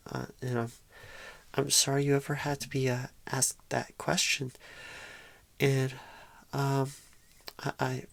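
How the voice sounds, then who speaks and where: Woman acting a low-voiced, painfully shy job recruiter. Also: level tone, creaky, no echo, heavily acted. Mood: apologetic, flustered. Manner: halting, stammering, apologetic, awkward. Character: painfully shy, nervous, inexperienced job recruiter on first day. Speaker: job interviewer. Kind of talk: ASMR interview roleplay. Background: computer keyboard typing.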